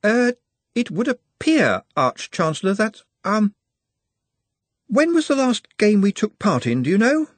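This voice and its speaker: A man's voice: sits high, very animated, measured pace, no room sound, voice pushed up, exaggerated voice. A man reading an audiobook, voicing an anxious, precise young male wizard who hedges before bad news. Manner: hesitant and precise, with fussy hedging.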